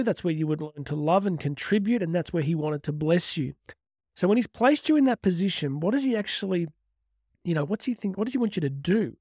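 High-pitched man giving an earnest motivational talk. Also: creaky, darkish tone, no room sound, clean studio sound. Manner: earnest, emphatic, with rhetorical questions. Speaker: motivational speaker. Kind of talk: motivational talk with religious teaching.

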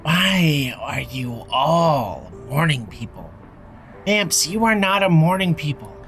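Grumpy, terse, higher-voiced man. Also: sing-song, slow pace, fairly bright tone, faint music behind, exaggerated voice. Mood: groggy, grumpy. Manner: terse, grumbling. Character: grumpy, evasive magic-family man. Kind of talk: naturalistic audio drama acting.

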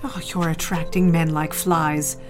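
A woman's voice: sits low, steady tone, measured pace, music in the background. A woman playing a cold, disapproving older society mother in an audio drama, haughty and clipped in manner. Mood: scornful.

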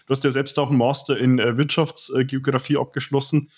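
Mann als Podcast-Moderator, ausschweifend. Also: male voice, medium pitch, flat delivery, fairly quick, breathy edge. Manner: wordy, many repeated "auch" and fillers. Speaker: podcast host, personal trainer. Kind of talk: interview hosting.

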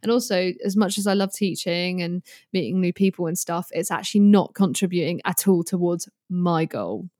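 A woman's voice: lowish voice, normal speed, hardly any pauses, mellow, no room sound, studio quality. A British woman in her early twenties chatting casually as a guest, candid, informal and rambling. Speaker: podcast guest, guitarist and online creator. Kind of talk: casual chat.

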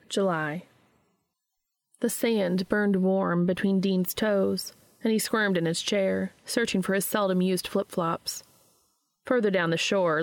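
A woman's voice: lowish voice, dry recording. A woman hosting and reading fan fiction aloud as scripted narration. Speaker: podcast host and reader.

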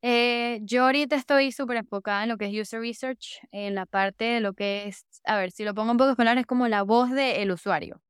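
A young woman from Venezuela talks as an interview guest, upbeat and eager, often repeating her intensifiers. Her voice is smooth and resonant, and it sits at an ordinary pitch for a woman. The sound is dry and close. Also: brisk, hardly any pauses, no echo. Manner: enthusiastic, repeats "de verdad que" and "súper". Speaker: podcast guest, user researcher. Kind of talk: casual interview chat.

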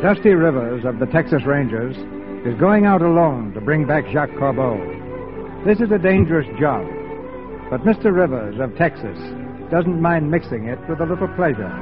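A man's voice: on the high side, expressive, normal speed. A man narrating a radio drama in scripted 1940s announcer style. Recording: muffled 1940s radio, music behind.

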